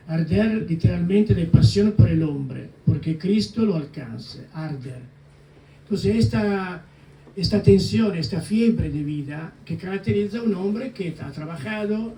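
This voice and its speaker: An older man with an Italian accent talks at a book presentation, hesitating often and slipping into Italian words. His voice is gravelly and mellow. He sounds distant, in a roomy hall. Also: a little high. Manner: halting, many fillers and restarts, mixing Italian words. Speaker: co-author guest. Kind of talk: public book presentation talk. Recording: echoey hall, mic at a distance.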